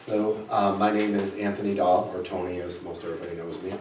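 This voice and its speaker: Low-voiced man, echoey room. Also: fairly even intonation, fast talker. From United States, Wisconsin. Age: middle-aged. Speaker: school board candidate, career educator. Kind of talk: short candidate statement. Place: indoor public board meeting, far microphone. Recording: echoey room, distant microphone, faint noise.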